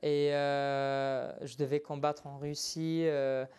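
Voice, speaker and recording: A young man whose first language is not French speaks in a studio interview. His voice comes through very clean and clear. He hesitates often, filling gaps with little sounds and restarting his sentences. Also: medium pitch, little pitch movement, slow pace, a little mellow. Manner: hesitant, many fillers and restarts. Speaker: guest fighter.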